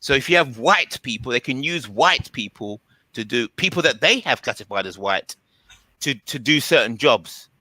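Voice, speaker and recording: A man with a bright, forceful voice talks at length in a casual discussion. He explains in a halting way, often repeating words. The sound is dry, with very little room around him. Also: mid-range voice, lively intonation, no room sound, low-quality recording. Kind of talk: casual conversational monologue.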